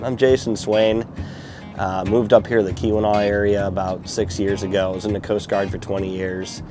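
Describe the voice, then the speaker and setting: A man in his forties from Lower Michigan, talking in a relaxed, plain way while guiding a tour on a small boat outdoors. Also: moderate pace. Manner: relaxed, plain-spoken, with fillers. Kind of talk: casual interview, guiding a tour. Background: music.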